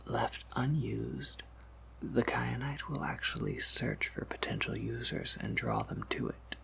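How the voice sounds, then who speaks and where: A man playing a soft-spoken vampire apothecary in a whispered ASMR roleplay, patiently explaining in a measured, slightly wry and knowing way. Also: medium pitch, exaggerated voice. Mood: quiet, confiding. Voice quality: breathy whisper with creaky undertone.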